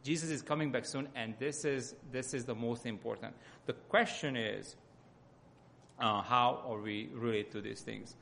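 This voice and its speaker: A man with a Hungarian accent gives an informal sermon as a lay church speaker, often halting as he searches for words. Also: medium pitch, steady tone, vocal fry, a bit bright, slight background noise.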